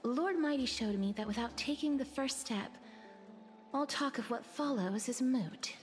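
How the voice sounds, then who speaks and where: Soft, slow-spoken young fantasy heroine. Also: female voice, high-pitched, soft background music. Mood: resolute, hesitant. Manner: gentle, hesitant, formal, measured. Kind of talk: video game story acting.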